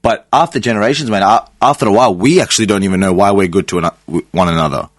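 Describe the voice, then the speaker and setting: A relaxed man in his twenties from Sri Lanka, a native Sinhalese speaker, talking in a fairly low voice. He chats loosely on a podcast, laughing easily and letting sentences trail off. The sound is dry and close, recorded in a studio. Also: quick pace, no echo. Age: 20s. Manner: laid-back, laughs often, trails off mid-thought. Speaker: podcast guest. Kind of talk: casual chat.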